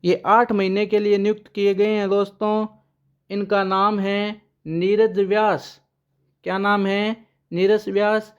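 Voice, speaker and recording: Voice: mid-range voice, little pitch movement, a little slow, clean tone, lo-fi sound. A current affairs teacher giving an exam-prep lesson with memory tricks, who asks questions aloud, answers them and repeats the key names.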